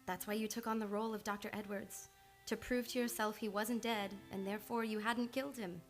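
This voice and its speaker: An actress playing a composed, determined and caring psychoanalyst, earnest and theatrical in an old-time radio play. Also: female voice, mid-range voice, steady tone, brisk, lightly acted. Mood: reassuring. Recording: studio radio play with music behind.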